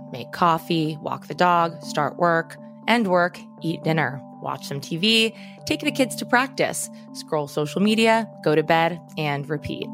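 A woman co-hosting a true crime podcast, with a hoarse voice after karaoke, moving between banter and measured, dramatic scripted narration. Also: on the low side, a bit bright. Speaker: podcast co-host.

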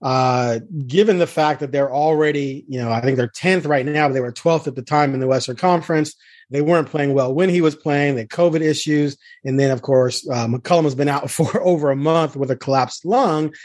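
Higher-voiced man hosting a sports podcast. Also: normal speed, darkish tone, no room sound, clean studio sound. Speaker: podcast host and sports beat reporter. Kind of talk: casual sports podcast chat.

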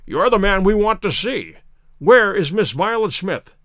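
A man voicing a brisk, commanding gentleman detective in a storybook reading, with a quick, clear, exclamatory delivery. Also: high-pitched, lively intonation, no room sound, studio quality.

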